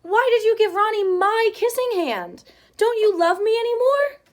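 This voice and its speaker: A woman's voice: extremely high pitch, sing-song, moderate pace, voice pushed up. A woman voicing a young boy raccoon, a jealous big brother who pleads plaintively in a storybook. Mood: hurt, tearful.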